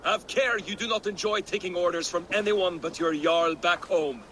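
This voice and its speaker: A resentful Viking crewman in a game drama. His high, tense voice is grudging and challenging, with faint ambience behind. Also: normal speed, fairly bright tone, slight background noise. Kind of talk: dramatic video game acting.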